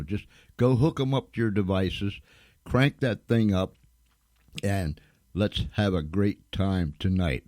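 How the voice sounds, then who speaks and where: Low, slow-talking male radio host. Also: darkish tone, no room sound. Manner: folksy, chatty, repeats himself for emphasis. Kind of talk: casual, rambling live radio show introduction.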